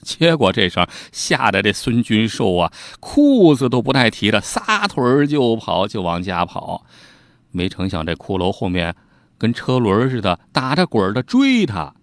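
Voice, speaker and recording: Animated low-voiced male storyteller. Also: normal speed, no room sound, studio quality. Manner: animated, colloquial, teasing asides to listeners. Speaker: storyteller, host. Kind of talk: informal storytelling narration of old ghost tales.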